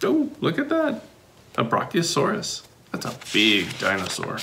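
Middle-aged male storybook reader, expressive and playful, swooping intonation with noticeable vocal fry. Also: mid-range voice, a little slow, slight background noise. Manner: expressive, sing-song questions with playful commentary. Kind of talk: animated children's picture book read-aloud with asides.

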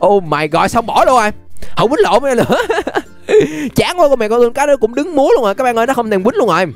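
A man's voice: very high voice, very animated, a little fast, soft background music. A young man with a Southern Vietnamese accent giving casual, playful, exclamatory gameplay commentary as a YouTube host. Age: young adult. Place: indoor room, webcam setup.

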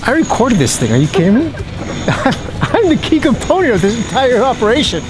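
A man's voice: very high-pitched, sing-song, brisk, over music, low-quality recording. Easygoing joking man vlogging on a boat. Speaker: vlogger, father. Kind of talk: casual vlog narration. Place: outdoors on a boat in a marina.

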